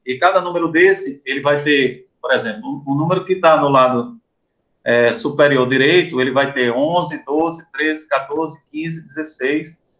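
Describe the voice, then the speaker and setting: Brazilian prof guy lecturing students, slightly high voice, lots of "né?" check-ins, muffled call sound. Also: a bit bright. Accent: Brazilian Portuguese. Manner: unhurried, often checks in with "né?" and "tá?". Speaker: university professor. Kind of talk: informal explanatory lecture to students.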